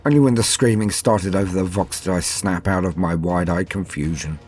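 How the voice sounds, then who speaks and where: A haunted starship captain, acted by a man, shaken and confessional in a sci-fi horror audio tale. The deep voice is husky and formal, moving between halting and hurried phrases over soft music. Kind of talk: dramatic first-person horror audio tale. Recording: music under the voice.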